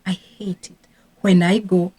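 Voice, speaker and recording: A woman's voice: on the low side, level tone, a little slow, mellow, slightly rough. A woman from Rwanda, a teacher and parenting coach, talking casually and earnestly to camera about her own parenting regrets. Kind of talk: casual advice talk to camera.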